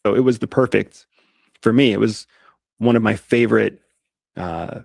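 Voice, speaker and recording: A man's voice: fairly low pitch, moderate pace, vocal fry, darkish tone, breathy edge, no room sound, clean studio sound. A man on an interview show, explaining a subject methodically and laying it out point by point. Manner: methodical, lays points out step by step. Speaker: guest, disguise expert. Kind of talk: casual podcast interview.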